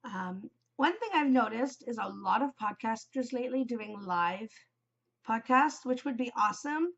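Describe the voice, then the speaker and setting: A woman's voice: fairly high pitch, expressive, moderate pace, creaky, fairly bright tone. Stuffy-nosed lady rambling on her knitting podcast, lots of ums, kinda muffled lo-fi sound. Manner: rambling, many ums, chatty. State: congested, voice shot from a cold. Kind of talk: casual solo chat.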